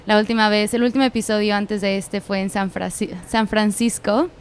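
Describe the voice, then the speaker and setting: A woman hosting a casual podcast, upbeat and quick to laugh, with slang. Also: mid-range voice, flat delivery. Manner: upbeat, laughs often, uses Mexican slang. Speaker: podcast host.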